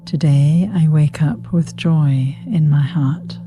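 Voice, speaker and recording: Slow, low-pitched woman guiding meditation. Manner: soothing, unhurried, spaced-out phrases with repetition. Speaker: meditation guide. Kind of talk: guided meditation with spoken affirmations. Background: meditation music with singing bowl.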